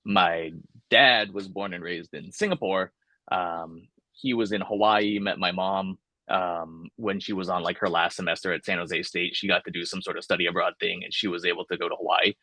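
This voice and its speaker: A man's voice: low voice, fairly even intonation, normal speed, pushed and bright, no room sound. A young man from California, talking casually and thoughtfully in an oral history interview, with many fillers and restarts.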